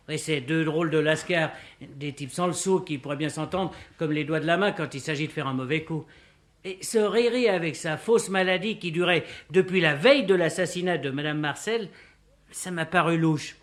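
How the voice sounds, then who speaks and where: An actor playing an outspoken, good-hearted detective's sidekick in a French radio play, with a cheeky, slangy way of talking. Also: male voice, on the high side, fairly bright tone, close to an everyday voice. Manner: colloquial, outspoken.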